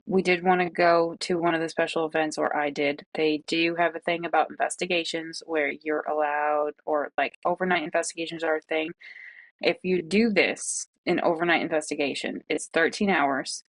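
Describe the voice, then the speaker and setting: A young woman from the with a gravelly, fry-edged voice that sits on the low side, talking in a casual vlog. She rants with incredulous, exasperated asides. The recording is dry and close. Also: fairly even intonation, moderate pace, no echo, poor audio. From Massachusetts, North Shore of Boston. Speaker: true crime YouTuber.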